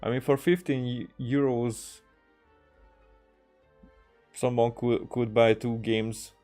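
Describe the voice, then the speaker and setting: A man streaming games, chatting casually in a hesitant, halting way as he thinks aloud. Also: mid-range voice, steady tone, dark tone. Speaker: game streamer. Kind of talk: casual livestream chat. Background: music.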